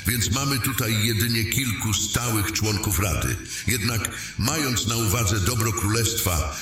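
A man playing a low-voiced, solemn king in hall. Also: fairly bright tone. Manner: formal, measured, ceremonial. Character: solemn, courteous, commanding king addressing his council. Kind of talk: fantasy audio drama acting. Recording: echoey great hall reverb.

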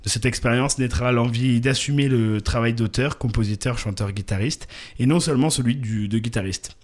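A man's voice: medium pitch, moderate pace. A man co-hosting a local radio show, casually presenting a musician's biography, often hesitating with fillers and joking about his own pronunciation. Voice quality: hesitant, many "euh" fillers and restarts. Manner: jokey, apologizes and laughs at his own pronunciation. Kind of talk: casual radio chat, reading an artist bio.

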